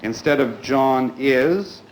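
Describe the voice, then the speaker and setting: A man with a mid-pitched voice leads a school grammar class. He is polite and deliberate, directing questions and instructions to pupils. The room echoes around him and there is noticeable noise on the recording.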